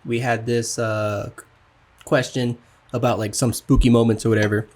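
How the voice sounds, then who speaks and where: A man co-hosting a casual podcast, talking in a halting way with many restarts and fillers. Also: medium pitch, little pitch movement, darkish tone. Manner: halting, hesitant, lots of restarts. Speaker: podcast co-host. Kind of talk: casual chat.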